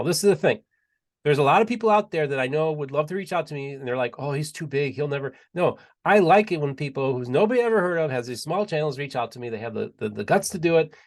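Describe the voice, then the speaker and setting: A male podcast host chatting casually and enthusiastically, often repeating and restarting his words. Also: a little high. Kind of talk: casual interview chat.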